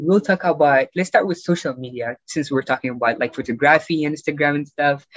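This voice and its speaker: A man's voice: on the high side, fairly bright tone, dry recording, dull audio. A teenage young man hosting a casual podcast chat in an informal, eager way. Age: teens. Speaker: podcast host.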